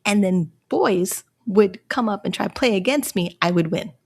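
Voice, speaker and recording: A woman from Canada in her thirties, hosting a casual solo livestream in a chatty, rambling way, laughing often and jumping up in pitch when excited. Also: normal speed, gravelly, husky. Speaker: livestream host.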